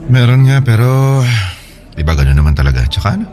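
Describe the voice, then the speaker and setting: A man's voice: mid-range voice, big pitch swings, fairly quick, dark tone, faint music behind, natural voice. An actor with a Filipino accent playing a troubled, hesitant married man in a conversational radio drama style. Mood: troubled, confiding.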